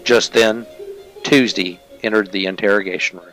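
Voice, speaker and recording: A man narrating a comic hard-boiled detective parody in a deadpan, clipped storytelling manner. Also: on the low side, normal speed, loud music behind the voice, poor audio.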